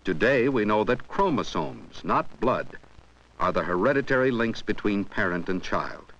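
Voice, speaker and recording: A dull, muffled 1970s film soundtrack carries a low-voiced man. He narrates an educational film with lively rises and falls, explaining in measured, scripted phrases. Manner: measured, explanatory, poses questions to the viewer.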